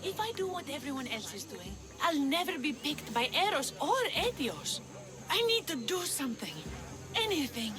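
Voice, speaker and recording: A woman's voice: high-pitched, very animated, moderate pace. A woman playing a desperate, lovestruck young maiden in a video game, earnest and emphatic. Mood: yearning, insistent. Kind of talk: video game cutscene acting.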